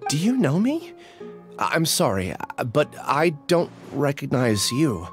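Apologetic, earnest young researcher. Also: male voice, fairly high pitch, huge pitch swings, slow, heavily acted. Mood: apologetic, anxious. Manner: hesitant, apologetic. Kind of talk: video game voice acting. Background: music.

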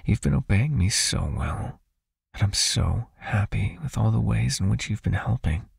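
A man voicing a possessive, soothing vampire hypnotist in an ASMR roleplay, with a hushed, coaxing manner and a raspy, creaky, breathy voice. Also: fairly low pitch, animated, normal speed, dry recording, clean studio sound, heavily acted. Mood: soothing, commanding. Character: possessive soothing male vampire hypnotist, controlling yet caring.

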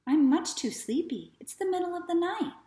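A woman's voice: very high voice, lively intonation, moderate pace, dark tone, pitched above the performer's own voice. A woman voicing a sleepy young boy in a storybook, a bit grumpy but helpful and matter-of-fact. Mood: drowsy protest. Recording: echoey living room.

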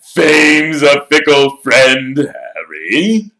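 A man voicing a vain, showy grown wizard who talks in a loud, theatrical, self-admiring way. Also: a little high, very animated, extremely slow, voice pushed up, heavily acted. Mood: smug.

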